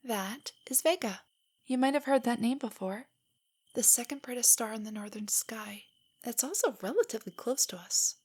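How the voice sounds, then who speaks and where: A young woman playing a nerdy, nervous, tender girlfriend in an intimate stargazing roleplay audio, speaking softly and often near a whisper as she gently rambles. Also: on the high side, expressive, normal speed, husky. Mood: gently enthusiastic. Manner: rambling, gently explaining, hesitant. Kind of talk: intimate roleplay audio, scripted to sound spontaneous.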